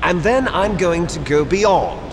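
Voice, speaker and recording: Game villain, menacing, fatalistic, theatrical lecturing, highly animated pitch, long reverb. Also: male voice, measured pace, background noise. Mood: menacing resolve. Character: bitter, fatalistic villain, cloned son of a soldier. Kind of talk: dramatic video game villain monologue. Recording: long echoing hall reverb.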